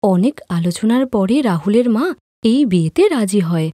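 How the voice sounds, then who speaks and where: A woman's voice: mid-range voice, lively intonation, normal speed, mellow, dry recording, studio quality. A woman narrating a scripted moral story as a storyteller.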